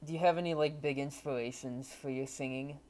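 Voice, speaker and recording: A man's voice: a little high, fairly even intonation, brisk, darkish tone. A man hosting an interview, asking casual questions with a few fillers. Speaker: host, interviewer.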